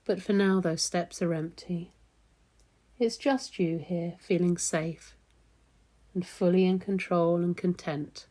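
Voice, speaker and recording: Lady doing guided meditation, really slow and soft toned, mellow relaxed voice, long gentle pauses. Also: on the low side.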